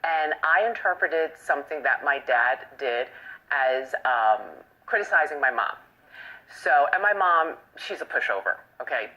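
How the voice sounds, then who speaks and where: A woman in her late twenties co-hosts a talk show with a crisp, bright tone. Her pitch moves freely as she tells stories with playful animation. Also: expressive, measured pace, lo-fi sound. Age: late 20s. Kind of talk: lively panel chat and segment intros.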